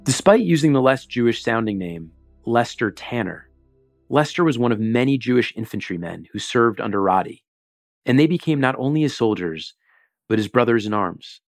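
Low-voiced solemn documentary narrator. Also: male voice, lively intonation, no echo, clean studio sound, soft background music. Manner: measured, solemn, dramatic emphasis. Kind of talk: scripted narration.

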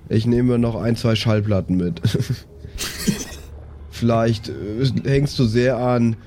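A man's voice: mid-range voice, fairly even intonation, slow pace, mellow, a little hiss or hum. A man playing an improvised tabletop role-playing game in a hesitant, reluctant and slangy manner. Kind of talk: casual improvised play.

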